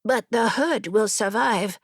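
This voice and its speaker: A woman's voice: on the high side, unhurried, slightly rough, no echo, studio quality. Woman as a brave, defiant little horse. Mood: steadfast. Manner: defiant, earnest. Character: smallest horse, brave, steadfast, dutiful herd guard. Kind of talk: audiobook fable.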